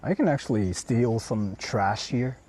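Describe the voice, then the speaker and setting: Guy murmuring to his stream outside, husky, pitch all over the place. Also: expressive, a little slow, mellow. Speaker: vlogger streaming while exploring. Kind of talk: casual livestream chat, answering viewers. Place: outdoors walking through an empty housing estate.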